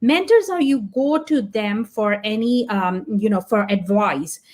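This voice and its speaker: A woman's voice: medium pitch, expressive, measured pace, darkish tone. A woman whose first language is Punjabi, speaking as a podcast guest in an earnest, reflective way, thinking aloud. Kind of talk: casual interview talk.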